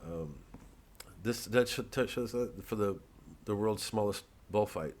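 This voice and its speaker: A man, a comedian, giving a rambling, casual monologue to an online audience in a halting, digressive, self-deprecating way. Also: lowish voice, darkish tone.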